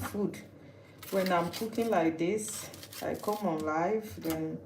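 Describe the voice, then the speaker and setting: A Nigerian woman from Nigeria, hosting a live-stream cooking chat, teasing viewers and restarting her sentences. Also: fairly low pitch. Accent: Nigerian English. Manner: chatty and teasing, with frequent restarts. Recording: echoey room with background noise.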